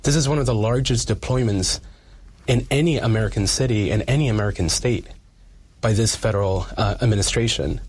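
A man's voice: fairly low pitch, brisk, a little mellow, breathy edge. A man speaking as a community activist in an interview, earnest and emphatic, repeating phrases for weight.

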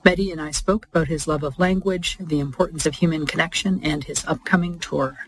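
A woman's voice: sits low, steady tone, brisk, breathy edge, low-quality recording. A woman hosting an interview podcast, reading introductions and putting questions to a guest.

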